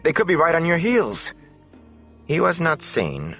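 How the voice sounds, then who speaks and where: Guy doing a sharp anxious village girl, pitched well up from his own voice, urgent, indignant, audiobook. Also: wildly swooping pitch, a little slow, slightly rough, faint music behind, full character voice. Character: anxious, defiant young village woman, sharp and demanding.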